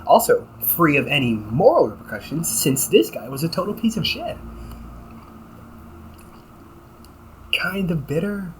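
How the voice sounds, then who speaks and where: A man's voice: mid-range voice, slight background noise, lightly acted, low-quality recording. A man playing a cynical, teasing vampire cop in an audio roleplay, talking in a slangy, sarcastic and flippant way. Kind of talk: audio roleplay, casual conversational acting.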